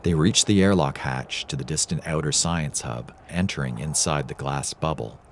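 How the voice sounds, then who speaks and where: A man's voice: rumbling low, moderate pace, slight background noise. A man reading scripted dramatic narration as the narrator of a story.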